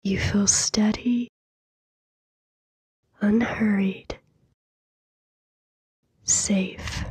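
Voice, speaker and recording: A woman softly narrating a scripted sleep meditation in a hushed, breathy whisper, soothing and unhurried, with long pauses. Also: mid-range voice, steady tone, no room sound.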